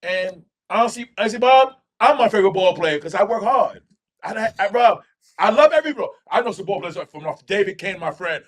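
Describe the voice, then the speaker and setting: A man's voice: high-pitched, animated, brisk. A man hosting a podcast, teasing his guest in brash, profane banter. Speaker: host.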